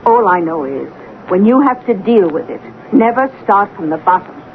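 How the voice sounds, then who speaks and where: Casting: worldly-wise motherly neighbour, mid-pitched, brisk and instructive, tinny old radio sound. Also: very animated. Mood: knowing, insistent. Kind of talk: 1970s radio drama acting. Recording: tinny, faintly noisy old radio broadcast.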